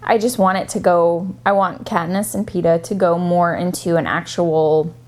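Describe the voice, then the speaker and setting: A woman's voice: on the low side, steady tone, moderate pace, darkish tone. A woman co-hosting a casual book chat in a blunt, teasing way. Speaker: co-host of a book discussion video.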